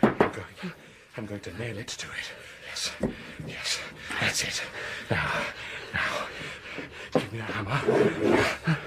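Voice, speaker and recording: An actor playing an agitated, commanding English gentleman haunted by horror in a radio drama, swinging from whispers to shouts. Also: fairly low pitch, animated, slow pace, pauses often. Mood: frantic, commanding. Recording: noisy 1980s radio drama broadcast.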